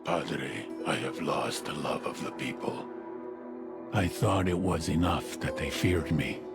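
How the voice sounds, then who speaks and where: An actor playing a brooding, menacing male cartel boss, speaking gravely and deliberately in game drama. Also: rumbling low, loud music behind the voice. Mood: brooding, penitent.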